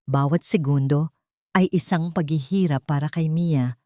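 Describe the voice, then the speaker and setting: A woman's voice: low voice, moderate pace, mellow, no room sound, studio quality. A woman narrator reading a scripted dramatic story.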